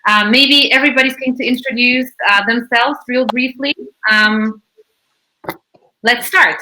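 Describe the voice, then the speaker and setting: Panel host, woman, bright and enthusiastic, video-call sound. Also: on the high side, steady tone, measured pace, slight background noise, rough recording. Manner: upbeat, enthusiastic, warm welcome. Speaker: panel moderator. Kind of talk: online panel hosting.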